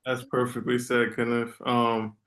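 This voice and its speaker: A man's voice: mid-range voice, completely flat delivery, unhurried, a bit bright. A man on a video call giving rambling advice, often restarting his sentences. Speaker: networking call participant. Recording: roomy video call audio.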